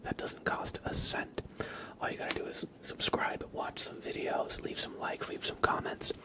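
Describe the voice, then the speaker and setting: A man hosting an ASMR livestream, chatting casually in a soft whisper. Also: moderate pace. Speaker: livestream host.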